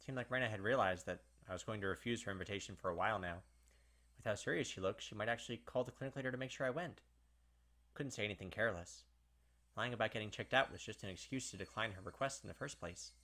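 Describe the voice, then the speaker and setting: A man's voice: on the low side, fast, bright, forceful tone. A man hosting a let's play, reading visual novel narration aloud and adding casual commentary. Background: game music.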